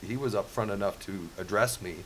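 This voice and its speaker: A man telling a casual story on a podcast, halting and restarting often, with many "you know"s. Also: on the low side. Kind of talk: casual anecdote. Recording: faint hiss.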